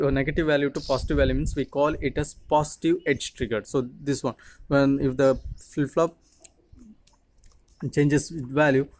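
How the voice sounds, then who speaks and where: A man in his twenties, a native Tamil speaker with an Indian accent, giving an explanatory lecture in a halting, repetitive way. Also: fairly high pitch, a little mellow. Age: 20s. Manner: halting, repetitive, frequently checking with "okay". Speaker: teacher, video channel host.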